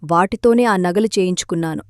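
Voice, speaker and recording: A woman's voice: on the low side, a little fast, a little mellow, dry recording, clean studio sound, natural voice. A woman voicing a scheming elder daughter-in-law confessing in a cartoon drama.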